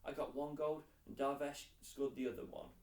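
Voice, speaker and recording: A man's voice: medium pitch, steady tone, moderate pace, a little mellow, natural voice. A man voicing a sensitive, earnest young schoolboy in a storybook. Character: sensitive young schoolboy footballer, eager to please. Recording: roomy echo, distant mic.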